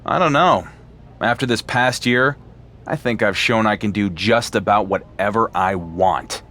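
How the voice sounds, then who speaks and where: A cocky male wrestling champion in a video game who brushes off authority. His voice sits low and his delivery is dry and unimpressed. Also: measured pace, pushed and bright. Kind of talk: video game cutscene acting.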